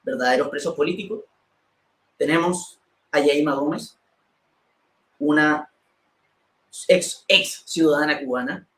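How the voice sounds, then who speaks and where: A man's voice: on the high side, a little slow, pauses often, fairly bright tone. A man presenting a political interview show as host, reading from prepared notes. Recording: roomy, echoey room sound.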